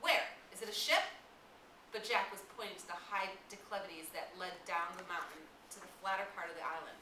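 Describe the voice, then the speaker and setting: A woman's voice: fairly low pitch, steady tone, brisk. A woman reading a novel aloud, sometimes stumbling and repeating words. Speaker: audiobook-style reader. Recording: roomy, distant mic, clear hiss.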